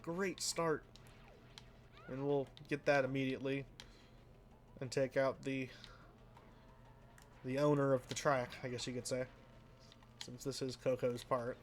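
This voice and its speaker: A man talks over his racing gameplay in a loose, rambling way, poking fun at himself and letting sentences trail off. His voice sits at a middle pitch and comes out flat and a little nasal. Faint background noise sits under the recording. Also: measured pace, long pauses. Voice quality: sounds flat and a bit nasal, by his own account. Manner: rambling, self-deprecating, drifts into mumbles. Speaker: let's play host. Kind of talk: casual gameplay commentary.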